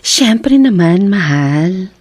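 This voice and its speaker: A tender, forgiving young wife in a Filipino radio drama. Her voice is breathy and mid-pitched, and she takes her words slowly. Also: lively intonation, darkish tone, exaggerated voice. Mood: happy, affectionate. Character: gentle, forgiving young wife recovering from a wound.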